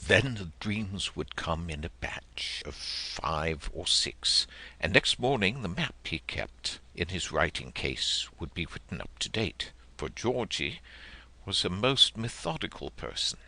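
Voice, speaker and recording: Very deep, bright, forceful male narrator. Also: creaky, rough recording. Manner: measured, expressive storytelling. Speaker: audiobook narrator. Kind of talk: dramatic literary audiobook narration.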